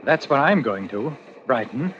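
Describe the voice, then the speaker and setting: An actor playing a secretive, menacing man in an old radio suspense play, speaking in a halting, guarded way. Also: mid-range voice, sing-song. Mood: guarded, polite. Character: secretive, menacing male stranger posing as an actor. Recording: noisy, dull old radio recording.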